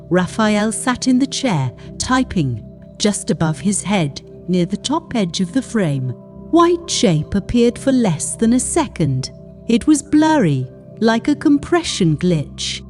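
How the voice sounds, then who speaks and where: A woman reading a scripted horror story as narrator, in a measured, deliberate way. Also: fairly high pitch, dark tone.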